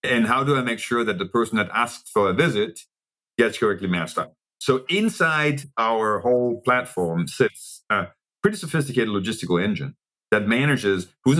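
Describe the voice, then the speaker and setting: A man with a Danish accent, explaining things in a measured interview style as a guest, often posing rhetorical questions. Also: fairly low pitch, fairly bright tone. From Denmark. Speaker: interview guest, technology executive.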